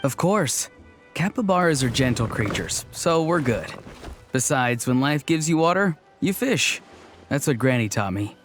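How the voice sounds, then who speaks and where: A man's voice: medium pitch, big pitch swings, unhurried. A man playing an earnest, committed young adventurer in a video game, matter-of-fact and sincere. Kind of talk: animated video game acting. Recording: faint game music under the voice.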